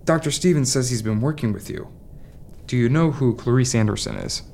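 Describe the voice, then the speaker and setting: Direct, mid-pitched police detective played by a man. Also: a little fast, a little mellow, a little hiss or hum, lightly acted. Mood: coaxing. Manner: questioning, direct. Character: probing, plain-spoken male police detective. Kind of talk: audio drama dialogue.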